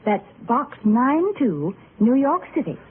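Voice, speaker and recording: A woman's voice: fairly high pitch, very animated, slow pace, slightly rough. A woman announcer delivering an old-time radio commercial in a chatty, persuasive manner. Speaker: sponsor's announcer. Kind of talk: 1940s radio commercial pitch. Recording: noisy, phone-like old radio recording.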